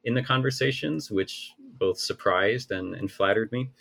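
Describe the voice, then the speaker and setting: Male author, thirties, low gravelly voice, careful hedging interview answers. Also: a bit bright. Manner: measured, hedging, many restarts. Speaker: author and researcher.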